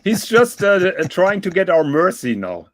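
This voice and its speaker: Lively, teasing man on a chat. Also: high-pitched. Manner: teasing, halting, many restarts. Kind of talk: casual group chat.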